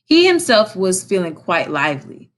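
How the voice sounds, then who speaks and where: A woman reading a story aloud as a teacher, in an expressive narrating style. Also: fairly low pitch, normal speed.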